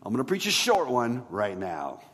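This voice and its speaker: A man preaching a sermon as a pastor, in a conversational way with rhetorical questions and emphatic pauses. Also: mid-range voice, wildly swooping pitch, a bit bright, breathy edge. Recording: long hall reverb.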